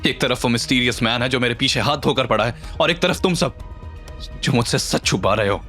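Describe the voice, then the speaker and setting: A man's voice: mid-range voice, fairly quick, pushed and bright, faint music behind. A man playing an impulsive, frustrated young male hero in an audio drama, with an urgent, intense delivery. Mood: angry, accusing.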